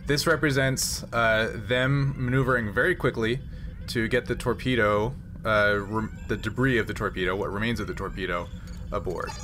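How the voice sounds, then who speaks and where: A man running a tabletop game as game master, narrating casually and thinking aloud in halting phrases. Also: lowish voice, fairly even intonation, background noise.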